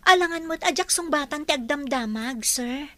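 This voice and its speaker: Outspoken young Ilocano woman, high voice. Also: expressive, normal speed, pushed and bright, no echo. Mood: indignant, defiant. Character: outspoken young housekeeper, polite but proudly defiant. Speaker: radio drama actress. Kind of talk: Ilocano radio drama acting. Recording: dramatic music bed behind voice.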